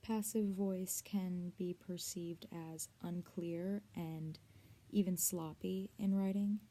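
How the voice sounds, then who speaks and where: A woman presenting a scripted lesson in a measured, explanatory way, speaking directly to the viewer. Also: lowish voice, steady tone, mellow. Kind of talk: scripted instructional narration.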